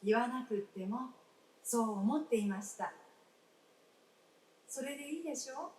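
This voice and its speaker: An actress playing the spirit of a gentle young wife in a live radio drama, speaking tenderly in polite, old-fashioned feminine phrasing. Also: female voice, on the high side, normal speed, close to an everyday voice. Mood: gentle, earnest. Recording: roomy stage, distant mic, faint noise.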